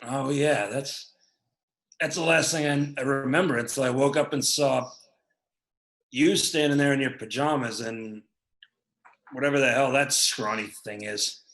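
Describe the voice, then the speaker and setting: A snarling biker brawler with a short fuse, voiced by a man, gruff and hostile, his tone bright and forceful. It rings in a roomy, echoey space. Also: mid-range voice, fairly even intonation. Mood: angry, boastful. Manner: gruff, hostile, quick to anger. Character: Rusty, a hot-tempered male biker brawler, young adult. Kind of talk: livestream play script reading.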